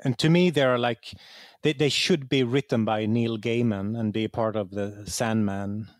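A man's voice: mid-range voice, fairly even intonation, moderate pace, dry recording, clean studio sound. A man with a Swedish accent explaining things conversationally as an interview guest, repeating words as he searches for phrasing. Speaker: interview guest, game writer.

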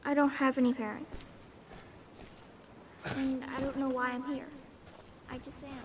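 A woman's voice: high-pitched, level tone, measured pace, a crowd in the background. A woman playing a small, earnest, subdued orphan girl in a video game, speaking in short plain childlike phrases. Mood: quietly insistent. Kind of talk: video game cutscene acting. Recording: game audio with clear background ambience.

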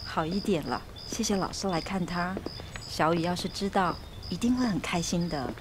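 A woman's voice: on the low side, normal speed, background noise, lightly acted. A woman playing a worried widowed mother of a schoolgirl in a radio drama. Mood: polite, subdued. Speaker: voice actress.

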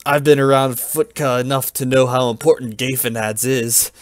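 A man voicing a brash, hot-headed male dark knight who snarls and shouts in slangy outbursts. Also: medium pitch, fairly even intonation, moderate pace, no echo, studio quality, close to an everyday voice. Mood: solemn.

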